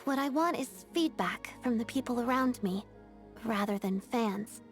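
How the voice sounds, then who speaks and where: A woman voicing an earnest, disciplined young ballet dancer in a video game, speaking in a composed, formal and measured way. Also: on the high side, faint music behind, lightly acted. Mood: earnest, polite. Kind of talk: video game character voice acting.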